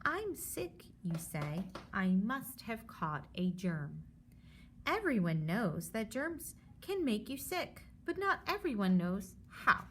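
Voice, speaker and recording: A woman's voice: mid-range voice, very animated, slow pace, slight background noise. A woman teacher reading a children's book aloud, stopping to explain things simply and reassure her young listeners.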